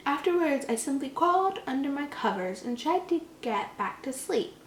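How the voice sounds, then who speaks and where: A woman voicing a frightened young boy who recounts an attack in a hushed, dramatic storytelling manner. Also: high voice, animated, normal speed, recorded from a distance, slight background noise, voice pushed up. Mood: uneasy. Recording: echoey room.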